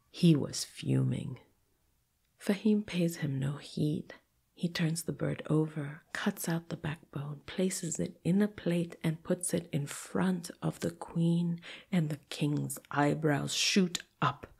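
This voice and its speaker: A woman storyteller narrating a folktale dramatically, with deliberate pauses and repetition that build suspense. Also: sits low, vocal fry, a little mellow, slightly rough.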